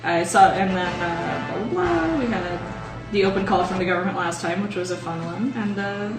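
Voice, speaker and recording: A woman's voice: fairly low pitch, moderate pace, roomy sound. Lady at a game table giving her character recap, casual, bit far from mic, music going behind.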